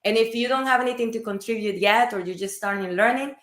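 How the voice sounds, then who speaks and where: A woman's voice: a little high, fast, a bit bright. A woman hosting a livestream, upbeat and enthusiastic, talking straight to viewers. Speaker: livestream host. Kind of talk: casual livestream interview. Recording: echoey room.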